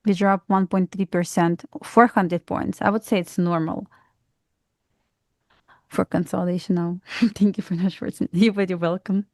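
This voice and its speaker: A woman from Belarus with a Russian accent, giving calm, matter-of-fact market commentary on a live trading stream. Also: on the low side, moderate pace, no echo. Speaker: trader co-host.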